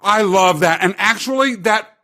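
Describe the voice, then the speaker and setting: A man's voice: high voice, normal speed, bright, forceful tone, a little hiss or hum. A man hosting a podcast interview with an upbeat, effusive manner. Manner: upbeat, effusive, laughs easily. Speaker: podcast host.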